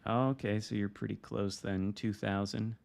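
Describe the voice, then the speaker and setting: Relaxed American man, low voice. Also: unhurried, gravelly. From Michigan. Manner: relaxed, wry, reacting to the game with mild swearing. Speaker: game streamer. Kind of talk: casual live-stream commentary. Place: home room.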